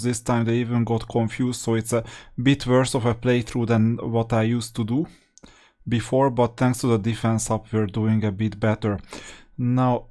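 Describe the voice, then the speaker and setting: A man giving casual gameplay commentary as a guide, explaining his choices and thinking aloud in a halting way. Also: medium pitch, darkish tone.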